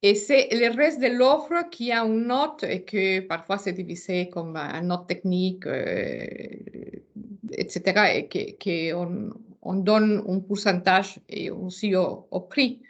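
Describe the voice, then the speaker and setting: A woman's voice: mid-range voice, takes it slowly, muffled recording. A non-native French-speaking woman with a foreign accent presenting a webinar informally, searching for words and often repeating them. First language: not French.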